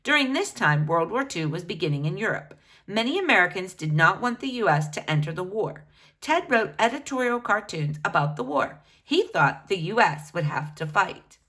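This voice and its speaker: A woman reading a biography aloud for children in an expressive, teacherly way. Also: fairly low pitch, moderate pace. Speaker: children's book reader.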